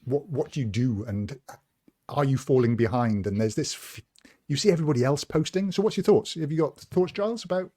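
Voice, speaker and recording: A man co-hosts a relaxed podcast conversation and steers the questions around the group. He talks in a chatty, self-deprecating way and often makes fun of his own skills. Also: mid-range voice, fairly quick. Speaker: podcast co-host, moderator. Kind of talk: casual chat.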